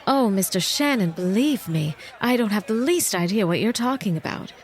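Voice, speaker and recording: An actress playing a young married suspect in a noir audio drama, polite, hesitant and defensive. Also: female voice, medium pitch, animated, moderate pace, a little hiss or hum, natural voice. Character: guarded young married woman under suspicion, evasively polite. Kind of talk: noir radio drama acting.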